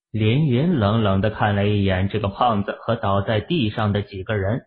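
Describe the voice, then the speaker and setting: Low-voiced male narrator, tinny audio. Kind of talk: scripted dramatic narration of a novel. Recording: tinny, narrow-band phone-like audio.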